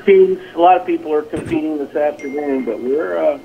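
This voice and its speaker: A man's voice: a little high, brisk, gravelly, crowd noise. A plain-spoken, folksy man, a track coach, giving a casual interview over the telephone. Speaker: high school track and field coach. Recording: tinny phone line with noise.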